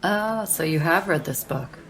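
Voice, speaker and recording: An actress playing a sardonic, deadpan young woman in casual audio drama banter, with a gravelly creak and a dry, teasing delivery. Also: on the low side, a little slow, low-quality recording. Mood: teasing, mock disappointed. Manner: dry, teasing, slangy, unimpressed. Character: deadpan, sardonic young woman who loves horror paperbacks.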